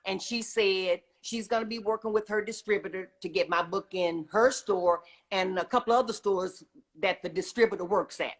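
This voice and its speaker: A middle-aged woman speaks as a guest in a casual, enthusiastic interview. She talks emphatically in a medium-pitched voice, punctuating her statements with little check-in questions. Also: normal speed. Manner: emphatic, often tags statements with "okay?". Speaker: guest, children's book author and retired teacher.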